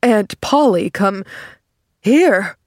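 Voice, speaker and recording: A woman reading the part of a lonely, earnest older man in an audiobook, halting and easily flustered. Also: mid-range voice, lively intonation, a little mellow, no echo, clean studio sound. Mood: dazed, alarmed. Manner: halting, pleading. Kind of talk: audiobook character acting.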